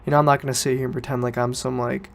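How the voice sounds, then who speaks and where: A man's voice: mid-range voice, level tone, rapid-fire, gravelly. A young man, student age, talking casually and informally as a solo podcast host, rambling and correcting himself as he goes. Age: teens to young adult student.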